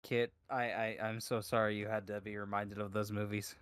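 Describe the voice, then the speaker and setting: Clear-voiced man in relaxed podcast chat. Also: lowish voice, normal speed, dry recording, studio quality. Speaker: podcast co-host.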